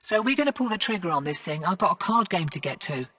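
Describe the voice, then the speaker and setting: High-pitched, wry rebel infiltrator. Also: male voice, sing-song, quick pace, bright, forceful tone, dry recording, exaggerated voice. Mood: brisk, confident. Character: dry, self-assured male infiltrator of rebel crew. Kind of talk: video game character acting. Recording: clear noise and ambience behind.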